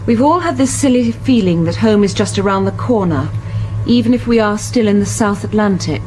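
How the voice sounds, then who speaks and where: A woman's voice: mid-range voice, expressive, fairly quick, a little mellow, natural voice, rough recording. An actress playing a thoughtful woman scientist in a radio thriller, reading. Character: British woman marine biologist reading a diary aloud.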